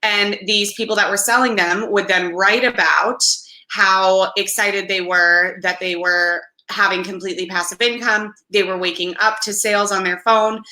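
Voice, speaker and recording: A young woman, slightly hoarse and raspy, hosting a weekly live business show with enthusiastic, encouraging talk. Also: medium pitch, bright, forceful tone, reverberant. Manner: enthusiastic, frequent laughs, encouraging. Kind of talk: upbeat livestream talk.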